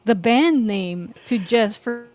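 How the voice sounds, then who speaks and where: Female panelist, higher pitch, very unhurried pace, casual group chat, informal. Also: lively intonation, a little mellow, slight background noise. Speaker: panelist on an online fan discussion.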